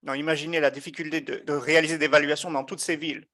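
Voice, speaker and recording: A man giving a live interpretation of an online meeting, measured and often hesitating as he keeps up with the speakers. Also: fairly high pitch, flat delivery, sharp tone. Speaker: simultaneous interpreter.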